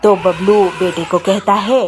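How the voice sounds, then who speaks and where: A woman's voice: mid-range voice, steady tone, unhurried, over music. A woman narrating a scripted moral story for children.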